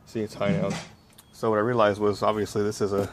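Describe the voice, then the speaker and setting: A man with a fairly low voice talks through a hands-on project in a very even, flat tone. He explains informally, step by step, like a casual update. There is clear noise on the home-made recording. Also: fast talker. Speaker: electronics hobbyist.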